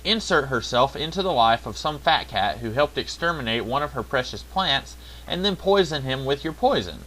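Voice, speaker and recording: Man, reviewer, scripted narration, wry and sarcastic, very animated pitch. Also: normal speed, a little hiss or hum, low-quality recording. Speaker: video reviewer.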